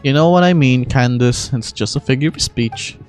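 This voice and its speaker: An amateur voicing a frank, confident female mercenary from a game, relaxed and assured. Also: medium pitch, moderate pace, soft background music, pitched below the performer's own voice, close to an everyday voice.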